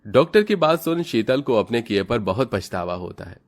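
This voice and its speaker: A man's voice: mid-range voice, big pitch swings, moderate pace. A man narrating a scripted moral story for children as its storyteller.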